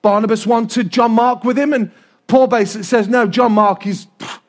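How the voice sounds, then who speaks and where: A middle-aged English man preaches in a very high-pitched voice. He is loud and animated, talking to his congregation in an informal, humorous way and repeating key phrases to drive them home. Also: moderate pace.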